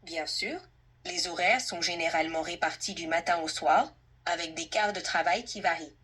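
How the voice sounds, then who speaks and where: A woman's synthetic assistant voice, husky, speaking fluently and politely as a tutor in a conversational role-play. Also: lowish voice, normal speed, a bit bright. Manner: polite, fluent, helpful, orderly. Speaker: AI language tutor playing an employer.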